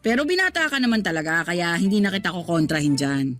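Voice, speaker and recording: A woman plays a young, affectionate daughter who loves to tease, in a radio drama. Her delivery is bantering and exclamatory, at a medium pitch. Faint music plays behind her. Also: expressive, quick pace, close to an everyday voice. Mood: playful teasing. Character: teasing, affectionate grown child of a smitten father.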